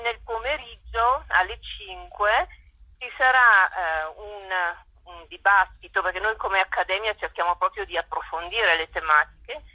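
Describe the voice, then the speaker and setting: A woman explaining things as an interview guest over a telephone, with hesitant pauses. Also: medium pitch, sharp tone, no room sound. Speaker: poetry academy president, interview guest. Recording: dull telephone line.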